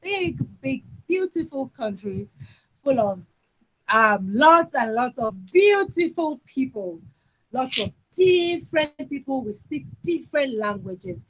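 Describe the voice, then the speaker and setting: A Nigerian woman with a Yoruba accent, presenting her country's culture and songs in an unhurried talk full of fillers. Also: high voice, sing-song, rough recording. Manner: sings folk songs, speaks slowly and warmly with fillers.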